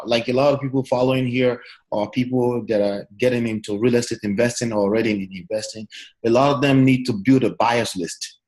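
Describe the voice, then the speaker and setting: A male interview host with a voice in an ordinary middle pitch range. He keeps affirming his guest, and when he asks his questions he speaks haltingly, with many fillers. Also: darkish tone, low-quality recording.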